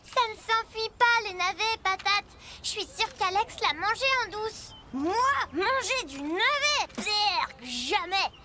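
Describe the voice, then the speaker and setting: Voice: extremely high pitch, lively intonation, slow pace, noisy background, heavily acted. A performer voicing a small, eager, childlike talking truck in a French children's cartoon, with a playful delivery. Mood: squabbling. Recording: music bed behind the voice.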